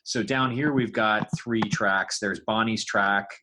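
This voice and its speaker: A man giving an informal tutorial, talking haltingly and thinking aloud as he demonstrates his work. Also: fairly low pitch, fairly even intonation, background noise. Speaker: podcast creator demonstrating sound design.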